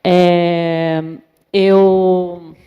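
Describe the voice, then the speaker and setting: Woman w/ northeastern brazilian accent giving a talk, lots of "né" and pauses, hall echo. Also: on the low side, flat delivery, smooth, highs cut off. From Natal, northeastern Brazil. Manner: many fillers, thoughtful and hesitant. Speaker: invited speaker, psychologist. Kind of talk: informal lecture talk. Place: university event hall. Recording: long hall reverb.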